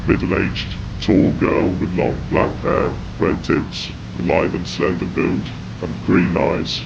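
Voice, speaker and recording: Male narrator for scripted horror, deep and heavily fried whispery rasp, grave delivery, muffled noisy audio. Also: fairly even intonation, takes it slowly. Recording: dull, noisy lo-fi audio with rumble.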